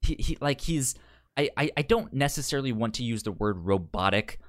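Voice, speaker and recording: A man co-hosting a podcast in casual film discussion, halting and often repeating words as he paraphrases. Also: medium pitch, lively intonation. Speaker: podcast co-host.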